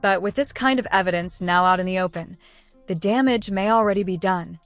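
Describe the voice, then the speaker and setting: A woman's voice: medium pitch, a little fast, dry recording. Woman narrating dramatic news over music.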